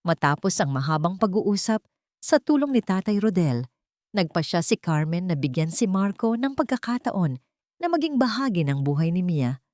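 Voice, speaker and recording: Low-voiced woman narrating a story. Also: big pitch swings, normal speed, darkish tone, dry recording, clean studio sound. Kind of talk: scripted dramatic story narration.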